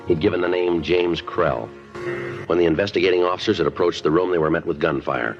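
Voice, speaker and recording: A man's voice: low voice, a little fast, faint music behind. An American man playing a terse, no-nonsense police detective who also narrates, clipped and matter-of-fact, in a 1950s radio crime drama. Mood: flat, businesslike. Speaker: actor. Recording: muffled vintage 1950s radio recording.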